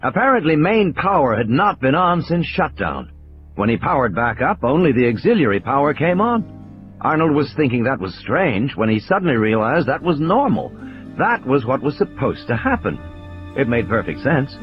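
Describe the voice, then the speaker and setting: A man's voice: medium pitch, sing-song, normal speed, lo-fi sound, soft background music. A man reading a scripted, dramatic audiobook narration as a storyteller.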